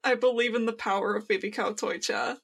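A woman co-hosting a podcast in casual fan chat, talking in an excitable, playfully sweary way. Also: fairly high pitch, a little fast, creaky, slightly rough. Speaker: podcast co-host.

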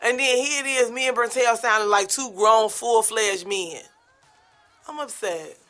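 A young woman with a New York accent and a deep-sounding voice, co-hosting a casual podcast in a blunt, profane, animated way. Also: measured pace, soft background music. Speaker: podcast co-host.

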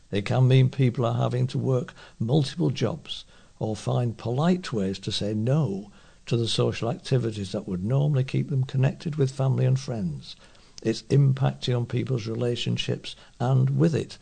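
A man's voice: medium pitch, creaky, dark tone, breathy edge. A man reading local news aloud as a volunteer newsreader.

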